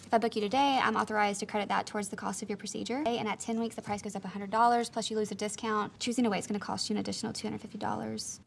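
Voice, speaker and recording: An actress playing a confident young clinic director in a film, with polished, salesy delivery. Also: female voice, mid-range voice, fairly even intonation, racing, vocal fry, few pauses, lightly acted. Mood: smooth, self-assured. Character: upbeat, evasive young woman running a clinic. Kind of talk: film acting and voice-over narration. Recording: film audio with background noise.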